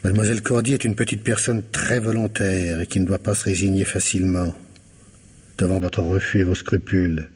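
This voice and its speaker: An actor playing a weary married police inspector in a radio mystery, speaking colloquially and defensively. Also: male voice, sits low, fairly even intonation, a little fast, a little mellow, breathy edge. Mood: measured. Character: gruff, weary married police inspector, evasive with his wife. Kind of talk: old French radio mystery acting.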